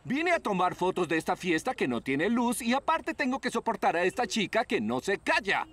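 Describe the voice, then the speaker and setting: A man's voice: sits high, sing-song, normal speed, fairly bright tone, full character voice. A man voicing a haughty, impatient celebrity photographer. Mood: irritated. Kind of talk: Spanish-language cartoon dubbing. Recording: music behind the voice.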